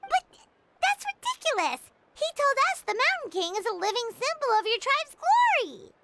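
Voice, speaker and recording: A woman voicing a small, excitable, childlike video game companion who is earnest and exclamatory. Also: extremely high pitch, moderate pace, loud music behind the voice, full character voice. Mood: shocked, indignant. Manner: exclamatory, stammering when flustered. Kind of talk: animated video game character acting.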